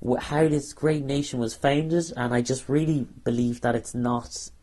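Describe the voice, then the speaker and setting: A young man with a Dublin Irish accent speaking earnestly off the cuff, halting and often repeating phrases as he goes. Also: mid-range voice, steady tone, a little mellow. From Ireland. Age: young adult. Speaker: interviewee, university student. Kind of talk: unscripted personal talk.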